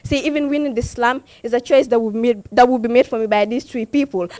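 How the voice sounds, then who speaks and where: A woman's voice: a little high, steady tone, quick pace, a little mellow, dry recording. A young woman performing spoken word poetry at a slam, impassioned, with a repeated refrain and rising emphasis. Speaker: slam poet.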